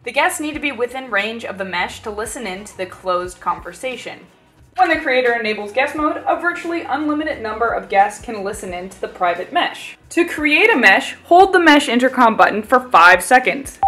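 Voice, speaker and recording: A woman's voice: a little high, lively intonation, normal speed, pushed and bright. A woman reading a scripted instructional narration for a product tutorial. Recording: music bed behind voice. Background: background music.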